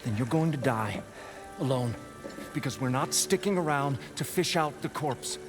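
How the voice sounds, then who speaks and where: A performer acting an exasperated, suspicious companion in a video game. The voice swings up and down as it scolds bluntly and indignantly, with music clearly behind it. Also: medium pitch, expressive, moderate pace, natural voice. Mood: exasperated, fed up. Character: exasperated, scolding, suspicious partner of a reckless inventor.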